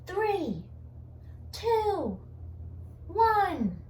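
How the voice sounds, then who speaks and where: A woman's voice: very high-pitched, big pitch swings, very slow, darkish tone, far from the mic, pitched above the performer's own voice, heavily acted. A woman voicing a tiny, confident, determined penguin adventurer in a children's storybook, proud and insistent. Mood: excited. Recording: roomy echo, faint background noise.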